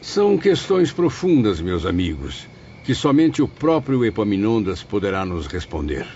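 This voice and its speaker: A man's voice: on the low side, sing-song, moderate pace, darkish tone. An actor playing a learned male spirit professor, formal and reflective, in audiobook dialogue. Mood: gently amused. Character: learned male spirit professor, gently rueful among friends. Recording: muffled, faint noise, outdoor night ambience.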